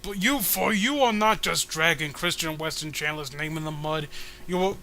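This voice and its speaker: A man puts on a pompous patriotic hero from a comic. The voice is bright and fairly high, full of indignant, grand oratory that stumbles along. Faint noise sits behind it. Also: normal speed.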